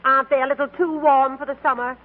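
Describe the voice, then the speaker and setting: An American actress playing a stern, fussy store inspector, curt and imperious, in a 1940s radio comedy. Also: female voice, high-pitched, little pitch movement, quick pace, natural voice. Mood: fussy, cool. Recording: tinny, noisy 1940s radio recording.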